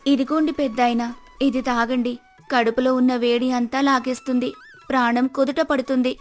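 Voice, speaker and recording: A woman's voice: sits high, little pitch movement, fairly quick, close to an everyday voice. A woman voicing a kind village wife with a nurturing, respectful manner in a cartoon moral story. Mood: concerned, caring. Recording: music behind the voice. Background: music.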